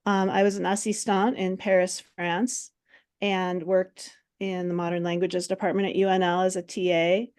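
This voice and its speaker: A woman's voice: on the low side, flat delivery, normal speed, a little mellow, clear, resonant tone. Chatty woman presenting on a video call. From Minnesota. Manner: friendly, chatty, many ums. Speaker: presenter, French teacher. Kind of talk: online workshop presentation.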